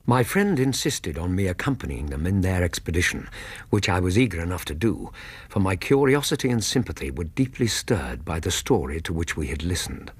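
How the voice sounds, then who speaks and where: An actor playing a genial, literate Victorian gentleman narrating a radio drama in a husky voice, measured and literary, with an English accent. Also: low-pitched, sing-song, no echo, heavily acted. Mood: engaged storytelling.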